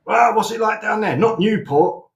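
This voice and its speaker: A man's voice: high voice, animated, moderate pace, vocal fry. A man hosting a live stream, chatting in a rambling way full of ums and restarts. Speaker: host. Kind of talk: live-stream chat with callers. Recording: echoey room on a live stream.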